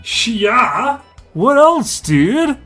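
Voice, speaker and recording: An excitable young surfer dude from space in an audio fiction story. The voice sits high and swings widely, with drawn-out emphasis and exclamatory slang. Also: male voice, music in the background, exaggerated voice. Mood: incredulous. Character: excitable young alien surfer dude, slug in human guise. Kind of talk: audio fiction character acting.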